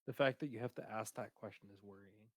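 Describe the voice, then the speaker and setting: A man with a booming voice chatting casually on a stream, rambling and hesitant, with many fillers and restarts. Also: fast. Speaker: streamer discussing his game character. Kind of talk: casual chat.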